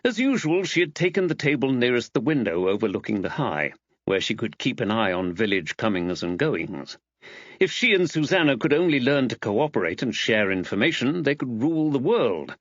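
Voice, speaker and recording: A man's voice: medium pitch, big pitch swings, normal speed, no room sound. A man reading a scripted mystery audiobook as its narrator, on slightly dull audio.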